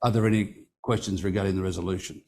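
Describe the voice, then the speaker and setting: A man with a lowish voice chairs a formal meeting in a meeting room, working steadily through resolutions. He reads long vote counts carefully and corrects himself when a figure slips. A faint room hiss sits under his voice. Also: fairly even intonation, fast, a little mellow.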